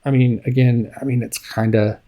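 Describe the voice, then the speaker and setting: A man co-hosting a podcast, telling a story in casual chat, slowly and haltingly, with many fillers. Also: mid-range voice, steady tone, mellow. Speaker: podcast co-host.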